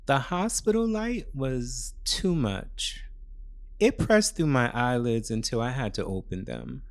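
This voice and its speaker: Slow-paced man narrating a thriller. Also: mid-range voice, animated. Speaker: story narrator. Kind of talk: dramatic first-person thriller narration.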